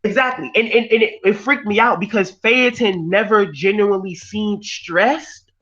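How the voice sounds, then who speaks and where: A man's voice: high-pitched, moderate pace. Rambling man on a muffled call. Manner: animated, rambling with many restarts. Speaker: stream host. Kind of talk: casual group discussion. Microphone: online voice call. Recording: dull lo-fi audio.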